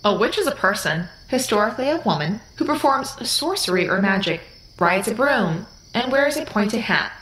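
A woman's voice: mid-range voice, big pitch swings, moderate pace, fairly bright tone, reverberant, low-quality recording. A woman playing an emotionless, curious alien-human hybrid in an audio drama, speaking formally, precisely and very literally. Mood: detached, literal.

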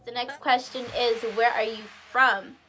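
A woman's voice: a little high, fairly even intonation, measured pace, sharp tone. A young woman of about twenty, chatting casually and expressively to camera as a vlogger at home. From Guyana and the United States, raised partly in Maryland. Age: late teens to about twenty. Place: indoor room at home with curtains.

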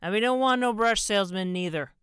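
A woman's voice: medium pitch, fairly quick, fairly bright tone, smooth, dry recording, clean studio sound, pitched above the performer's own voice, lightly acted. A woman voicing a surly drunken husband in a storybook, with thick, sneering, coarse speech. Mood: sneering, hostile.

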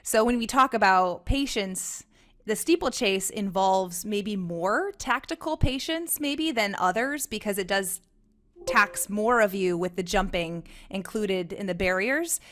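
A woman with a mid-pitched voice hosts a podcast interview. She talks conversationally and with animation, close to a desktop microphone. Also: a little slow, fairly bright tone. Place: living room indoors. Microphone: desktop microphone close in front.

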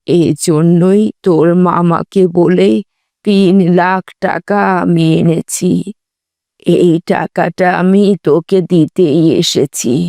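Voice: mid-range voice, a little mellow, dry recording, studio quality. A performer voicing a loving, pious elderly grandmother in a cartoon, affectionate and full of blessings. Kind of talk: animated moral story dialogue.

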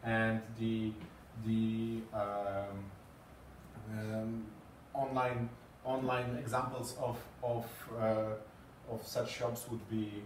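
A man's voice: fairly low pitch, little pitch movement, extremely slow, slight background noise. A man in his thirties with a Polish accent giving a talk to a small group, hesitant with many fillers and repeated words. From Poland. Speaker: conference speaker. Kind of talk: presentation talk. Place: small meeting room with green walls and a screen. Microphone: far camera, no mic seen. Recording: echoey room from far off.

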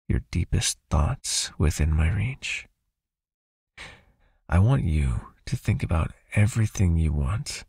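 A man playing a possessive, soothing vampire captor in an intimate ASMR hypnosis roleplay, speaking slowly and breathily, coaxing and gently commanding. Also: no echo, clean studio sound, exaggerated voice. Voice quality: husky, breathy, low, often near a whisper.

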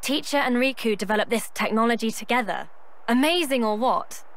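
An actress playing a friendly young teammate in a video game, direct and informal. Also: female voice, on the high side, fairly quick, a bit bright. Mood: proud. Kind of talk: video game cutscene acting.

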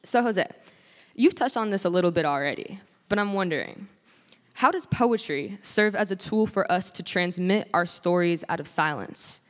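A woman's voice: lowish voice, animated, normal speed. A young woman moderating a stage Q&A, reading prepared questions in careful, formal phrasing. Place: large auditorium stage. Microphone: handheld mic. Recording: long reverb of a large hall.

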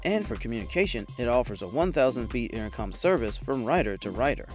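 A man delivers scripted narration for a product roundup. His voice sits at a medium pitch, and the read is measured and promotional. Music plays behind him. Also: big pitch swings. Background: background music.

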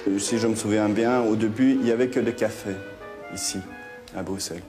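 A man with a fairly low voice explains things steadily, often restarting on the same word. Clear music plays under him throughout, as in a reportage. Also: fairly even intonation. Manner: measured and explanatory, with repeated words. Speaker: interviewee. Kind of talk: documentary interview.

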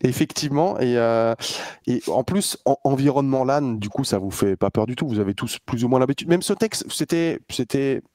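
A man's voice: medium pitch, normal speed, warm tone, dry recording, studio quality. A man casting an esports match, chatting casually with many fillers and restarts while he interviews a player. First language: French. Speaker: esports caster.

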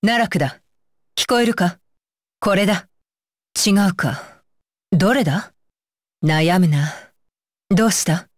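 Stern, deliberate young ninja bodyguard. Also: female voice, lowish voice, animated, no echo, clean studio sound. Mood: calm, instructive. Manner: clipped, commanding, terse masculine phrasing. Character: stern, dutiful young female ninja bodyguard, blunt and proud. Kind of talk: Japanese anime game voice lines.

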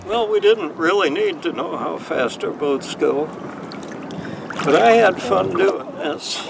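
A man in his sixties with a gravelly voice, hosting an instructional hobby video in an unhurried, folksy storytelling way. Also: sits high, expressive, music in the background. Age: 60s. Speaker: host of a model sailing channel. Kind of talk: relaxed instructional narration to camera. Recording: distant mic, faint outdoor noise.

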